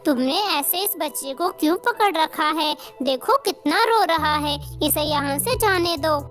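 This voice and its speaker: Woman as a high-voiced kindly cartoon bird auntie. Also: lively intonation, unhurried, fairly bright tone, soft background music. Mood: reproachful. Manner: reproachful, firm, protective. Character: kind, firm female little bird, an auntie. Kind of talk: Hindi cartoon voice acting.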